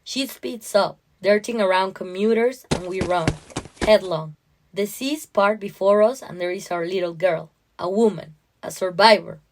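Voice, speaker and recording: A woman narrating a first-person literary story in an expressive, dramatic way. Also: medium pitch, measured pace, low-quality recording.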